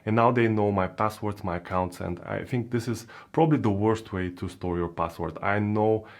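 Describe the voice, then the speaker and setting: A man's voice: lowish voice, normal speed, vocal fry. A man with a Bulgarian accent, a native Bulgarian speaker, explaining online security step by step in a plain teaching manner with frequent fillers. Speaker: crypto security educator. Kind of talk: explainer video narration.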